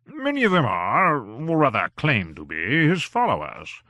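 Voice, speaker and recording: A man reading an audiobook, voicing an earnest male government gentleman who speaks emphatically and exclaims in surprise. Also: mid-range voice, wildly swooping pitch, measured pace, no room sound, clean studio sound, full character voice. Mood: grave.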